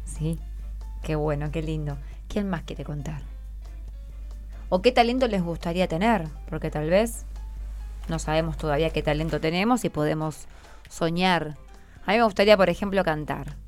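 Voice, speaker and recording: A woman's voice: sits low, moderate pace, pauses often, faint music behind. A woman with a Rioplatense Argentine accent hosting an informal radio interview, patiently encouraging her guests with questions. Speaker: radio host interviewing schoolchildren.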